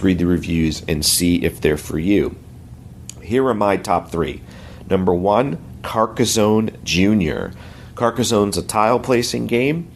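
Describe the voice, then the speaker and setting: Middle-aged guy with a hoarse, gravelly deep voice, talking casually on a podcast and correcting himself as he goes. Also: a little hiss or hum. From United States. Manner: rambling, friendly, self-correcting. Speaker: podcast regular.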